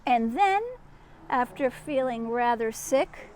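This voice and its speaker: A woman reading a children's story aloud in an expressive, teacherly way, with chatty asides and questions to young listeners. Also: a little high, slow pace, a little hiss or hum. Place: outdoors in a garden.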